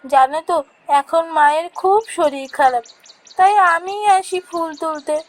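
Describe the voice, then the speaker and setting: A woman's voice: very high-pitched, moderate pace. A woman voicing a poor, dutiful young village flower-seller, worried and earnest, in a fairy-tale cartoon. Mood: plaintive. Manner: plaintive, earnest.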